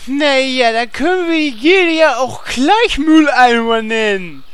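A woman's voice: high-pitched, animated, a little slow, smooth. A woman playing a dim, eager and hesitant game warrior in a comic audio drama. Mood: naive.

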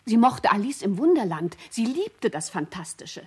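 A woman's voice: on the high side, big pitch swings, normal speed, husky, heavily acted. A woman playing a concerned schoolteacher who recalls her pupil in reflective testimony in a radio play. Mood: reflective, troubled.

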